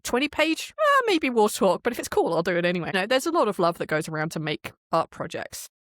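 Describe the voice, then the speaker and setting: A woman's voice: mid-range voice, sing-song, fast talker, a bit bright, no room sound. Candid woman chatting in a dry-sounding recording. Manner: candid, informal, explains at length with rhetorical questions. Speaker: podcast guest, indie audio drama producer. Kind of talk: casual interview chat.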